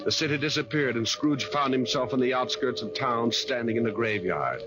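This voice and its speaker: A man's voice: medium pitch, moderate pace, close to an everyday voice. A man playing a wry, slangy narrator in old-time radio storytelling style. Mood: wry, dramatic. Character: wry, slangy male storyteller of a Christmas tale. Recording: old radio broadcast, loud music underneath. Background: loud music.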